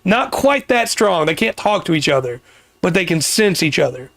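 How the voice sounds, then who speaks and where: A man hosting a casual podcast, explaining things at length with pauses and restarts, his voice getting worn. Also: sits high, big pitch swings, vocal fry, fairly bright tone, no echo. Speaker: podcast host.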